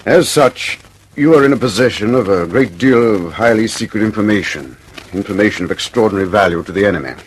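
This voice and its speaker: Man acting brisk, stern police commissioner. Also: fairly low pitch, lively intonation, lightly acted. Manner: formal, interrogating. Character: stern, official male police deputy commissioner. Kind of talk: old radio drama acting. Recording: noisy old radio drama recording.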